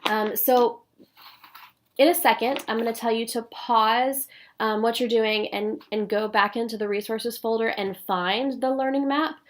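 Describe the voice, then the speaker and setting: A woman's voice: on the high side, fairly even intonation, unhurried. Stuffy-nosed woman presenting to teachers. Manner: clear, paced teacherly explanation with frequent "um". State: stuffy nose from a cold. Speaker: instructional coach. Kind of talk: recorded professional development presentation.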